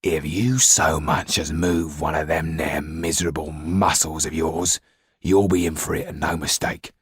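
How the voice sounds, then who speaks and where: A man's voice: very deep voice, fairly even intonation, unhurried, breathy edge, dry recording, studio quality, heavily acted. Man acting coarse English bully of a jailer. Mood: menacing. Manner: coarse, threatening, slangy. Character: rough, bullying, grumbling jailer, a bulldog of a man. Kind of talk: acted audiobook dialogue.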